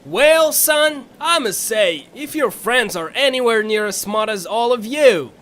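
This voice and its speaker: A man's voice: extremely high pitch, animated, measured pace, fairly bright tone, exaggerated voice. A man voicing a folksy, bossy ferry captain with a Southern US accent in a cartoonish audio drama. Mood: folksy, cheerful. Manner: folksy, drawling turns of phrase.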